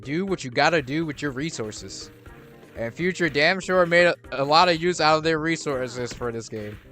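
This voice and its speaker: A man's voice: a little high, lively intonation, normal speed, sharp tone. Rambling young man over game music. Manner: rambling, many restarts and fillers, swears casually. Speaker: gaming streamer. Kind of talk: casual gameplay commentary.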